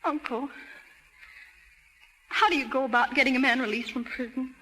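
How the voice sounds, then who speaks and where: A woman's voice: sits high, fairly even intonation, moderate pace, sharp tone, in a large hall. An actress playing an earnest, wounded but determined young American country woman, pleading emotionally in 1930s radio drama style. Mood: pained, resolute. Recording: hollow, noisy old 1930s radio broadcast.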